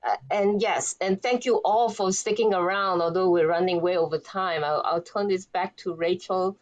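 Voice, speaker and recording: A woman's voice: fairly low pitch, steady tone, moderate pace, dull audio. Gracious faculty moderator, a woman. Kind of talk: unscripted closing thanks.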